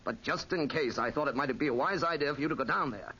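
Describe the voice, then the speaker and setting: Urgent, fast-talking Paris policeman. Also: fairly high pitch, bright, forceful tone, slightly rough. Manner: hurried, formal. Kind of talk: 1940s radio acting. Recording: muffled, noisy 1940s radio recording.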